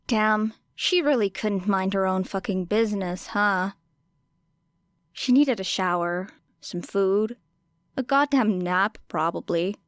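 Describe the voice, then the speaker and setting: A woman reading fiction aloud as an audiobook narrator, with an expressive, wry and dramatic delivery. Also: medium pitch, clean tone, no echo, studio quality.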